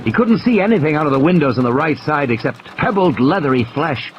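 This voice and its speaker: A man's voice: a little high, lively intonation, a little fast, highs cut off, a little hiss or hum. A man reading a thriller audiobook as a dramatic scripted narration. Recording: clear rain and storm effects behind. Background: rain, vehicle and ambience sound effects.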